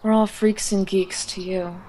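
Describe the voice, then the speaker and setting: A woman playing an aloof high school outcast in an audio drama, dismissive and sardonic. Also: little pitch movement, measured pace, noisy background, close to an everyday voice. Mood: cold, dismissive. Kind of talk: amateur audio drama acting.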